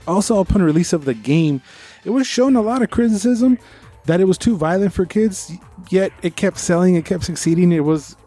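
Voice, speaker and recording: A man's voice: a little high, mellow. A man co-hosting a podcast, giving opinionated, informal review chat with bits of trivia. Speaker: podcast co-host.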